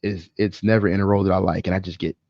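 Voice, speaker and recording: A man co-hosting a casual podcast, speaking in an opinionated, emphatic way with swearing and halting pauses. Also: sits low, flat delivery, a little mellow, dry recording, highs cut off. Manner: profane, emphatic, halting with pauses mid-thought. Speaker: podcast co-host. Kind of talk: casual film discussion, opinionated ranting.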